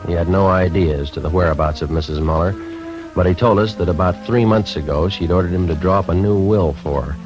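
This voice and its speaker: An American man playing a terse police detective who narrates a 1950s radio drama in a clipped, deadpan way. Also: low voice, a little fast, natural voice. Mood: matter-of-fact narration. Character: terse, businesslike male police detective sergeant. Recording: dull 1950s radio recording with music.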